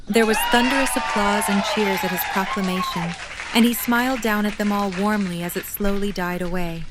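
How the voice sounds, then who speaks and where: A woman's voice: medium pitch, normal speed, over a noisy crowd, heavy background noise. A woman narrating a scripted story for an audio drama.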